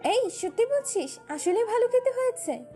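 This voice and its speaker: A woman's voice: very high-pitched, sing-song, moderate pace, warm tone, voice pushed up. A woman voicing an anxious, doubting young woman, an older sister in a romantic audio story. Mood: disbelieving. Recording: music bed behind voice.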